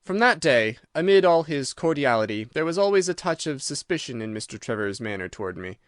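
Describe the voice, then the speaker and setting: Measured male mystery narrator, dry sound. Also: on the high side, sing-song, no echo. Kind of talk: dramatic scripted story narration.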